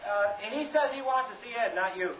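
High-voiced studio helper. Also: male voice, brisk, slight background noise. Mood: flustered. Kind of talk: 1940s radio drama acting. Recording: muffled, roomy 1940s radio recording.

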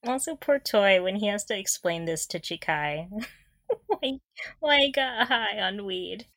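A woman co-hosting a podcast in casual chat, with a giggly, expressive way of reacting. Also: medium pitch, unhurried, dry recording, clean studio sound. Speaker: podcast co-host.

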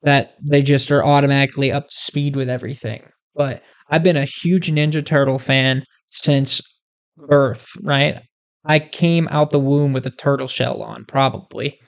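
A video host delivering a comedic rant straight to the viewers, with a middle pitch. The speech is profane and emphatic, and the talk has an animated, worked-up energy. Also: moderate pace, vocal fry.

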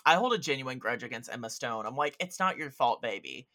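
A man's voice: mid-range voice, expressive, fairly quick, sharp tone. A man chatting casually about films as a podcast guest, opinionated, emphatic and effusive, with rambling asides. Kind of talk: casual film chat.

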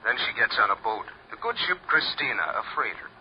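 Man as chatty, florid radio harbor cop, rapid and lively, muffled vintage recording. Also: medium pitch, slight background noise, lightly acted. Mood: gleeful, storytelling. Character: wordy, theatrical male harbor policeman, pleased with himself. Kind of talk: 1950s radio crime drama acting.

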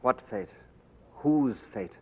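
An actor in a 1940s radio drama playing an English gentleman who poses as a king, with a light, wry delivery. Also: medium pitch, animated, slow, breathy edge. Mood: anxious. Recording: tinny, noisy old radio broadcast.

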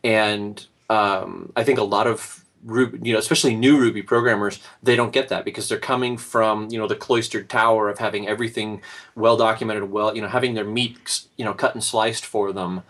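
A man with a somewhat low voice speaks in casual panel talk. He is emphatic and drives his point home by repeating it. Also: steady tone, fairly quick, a bit bright.

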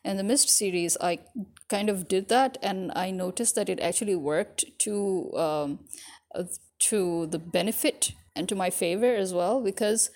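A woman's voice: medium pitch, unhurried, a little mellow. A young woman with a mixed British, American and Pakistani English accent, talking casually and rambling with many fillers as an author vlogging. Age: young adult. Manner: rambling, frequent fillers, self-correcting. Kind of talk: casual spoken monologue.

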